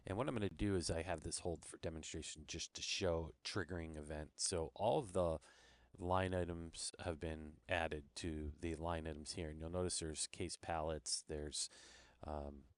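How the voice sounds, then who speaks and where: A man's voice: very deep voice, steady tone, normal speed, dry recording. A man presenting an informal screen-share product demo, explaining things step by step.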